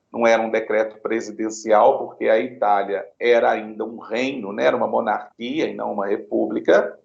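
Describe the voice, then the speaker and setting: A Brazilian man with a Brazilian Portuguese accent, explaining citizenship law deliberately, checking in with "né?" and "tá?". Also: medium pitch, gravelly, echoey room, dull audio. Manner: deliberate, tag questions like "né?" and "tá?". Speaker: lawyer interviewed on Italian citizenship law. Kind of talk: explanatory legal talk.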